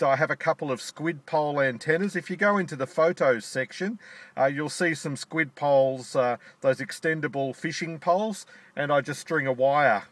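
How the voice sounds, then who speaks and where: A man's voice: medium pitch, a bit bright, dry recording. A man talking as an amateur radio operator, spelling things out in phonetics, speaking from inside a parked car. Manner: spells out call signs in phonetic alphabet. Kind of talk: ham radio contact chat.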